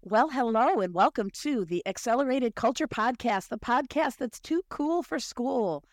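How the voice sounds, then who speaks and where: A woman hosting a podcast, hoarse from illness, talking with enthusiasm. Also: fairly high pitch, expressive, normal speed, no echo, studio quality. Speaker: podcast host. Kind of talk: casual interview chat.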